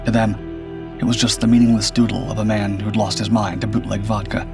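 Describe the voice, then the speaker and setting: Low, creaky male horror narrator. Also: little pitch movement, brisk. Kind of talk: scripted first-person suspense narration. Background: background music.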